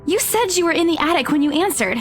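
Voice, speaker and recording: A woman voicing a confused young woman in a horror visual novel, speaking softly and hesitantly. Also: extremely high pitch, lively intonation, fast, faint music behind. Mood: uneasy. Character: dazed young woman, real estate trainee, confused. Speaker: voice actor for the heroine.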